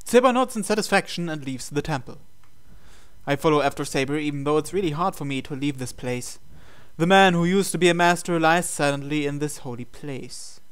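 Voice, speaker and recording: A male game host reads story text aloud. His voice is on the high side and very clean. He narrates in a measured, dramatic storytelling style. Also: fairly bright tone, clean tone.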